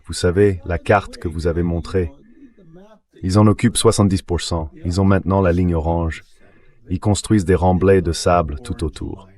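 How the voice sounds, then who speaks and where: A man's voice: deep voice, darkish tone. A man's synthetic dubbing voice, giving political analysis as the guest in an interview. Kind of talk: political analysis, dubbed translation read by synthetic voice.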